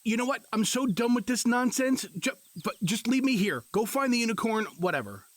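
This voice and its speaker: A man's voice: very high-pitched, animated, fairly quick, no room sound. A man playing an exasperated, sarcastic husband dangling from a tree in an audio drama. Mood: bitter. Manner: sarcastic, blustering.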